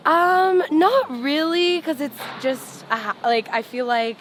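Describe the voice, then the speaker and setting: A woman's voice: sits high, animated, slow, fairly bright tone. A young woman, a singer born and raised in Los Angeles, chatting casually in an interview with lots of "like" fillers. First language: Farsi. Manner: chatty, full of "like" fillers, laughs often. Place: outdoors on a sidewalk. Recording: street noise behind.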